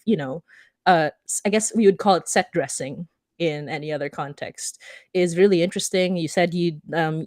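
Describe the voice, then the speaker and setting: A woman's voice: low-pitched, a little mellow, no echo, clean studio sound. A woman hosting a podcast, interviewing guests in a casual, conversational style. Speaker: podcast host.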